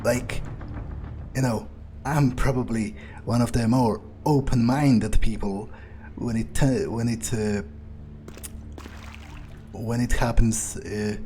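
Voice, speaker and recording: A man's voice: mid-range voice, steady tone, slow, warm tone, a little hiss or hum. A man commentating a video game playthrough in character, musing to himself in a casual, dry way. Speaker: gamer playing in character. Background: faint game music.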